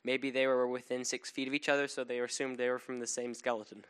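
Man, audience member, steady, flat delivery, smooth voice. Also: medium pitch, fast talker. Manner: steady, even explanation. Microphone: handheld mic.

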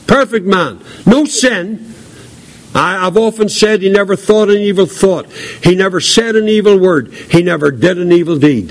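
High-pitched man preaching an emphatic sermon. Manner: emphatic, repeats key phrases for weight; earnest, solemn exhortation. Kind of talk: evangelical sermon.

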